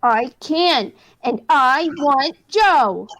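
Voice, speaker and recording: A woman's voice: sits high, animated, very slow, fairly bright tone, heavily acted. A woman voicing a bratty, stubborn little boy in a radio play, whiny and demanding. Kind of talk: hobby radio play acting.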